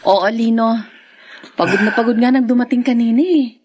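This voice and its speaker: A woman acting a gentle, confiding wife and younger sister in a Tagalog radio drama. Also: on the high side, normal speed, close to an everyday voice.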